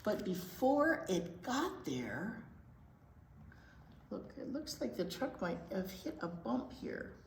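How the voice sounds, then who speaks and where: A woman reading a picture book aloud to young children as a storytime teacher, expressive and emphatic, explaining things simply. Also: medium pitch, slow pace, gravelly. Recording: roomy echo with faint noise.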